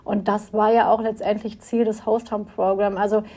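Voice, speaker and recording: A woman's voice: mid-range voice, little pitch movement, moderate pace, dark tone. A woman interviewer who speaks in a composed, matter-of-fact way. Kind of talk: conversational interview.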